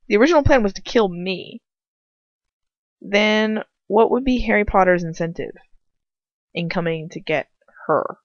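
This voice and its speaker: A woman talking casually in a rambling, opinionated, slangy way, with some swearing, as she comments on a story she is reading aloud. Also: medium pitch. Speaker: amateur fan fiction reader. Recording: roomy, slightly dull home recording.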